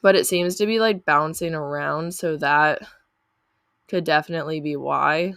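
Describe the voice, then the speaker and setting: A young woman with a low voice talks casually and chattily, padding her sentences with little fillers as she walks through her numbers. A lingering cough leaves her voice sounding slightly off. The recording is dry and close, with no room sound. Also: normal speed, vocal fry, a bit bright, clean tone, no echo. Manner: chatty, uses many fillers like "like" and "um". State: lingering cough after illness. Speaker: YouTuber reviewing channel earnings. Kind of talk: casual screen-share walkthrough.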